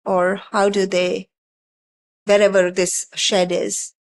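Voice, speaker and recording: A woman's voice: mid-range voice, little pitch movement, takes it slowly. A woman on a planning board questioning an applicant, hedging her points with many fillers. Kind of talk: questioning at a public meeting.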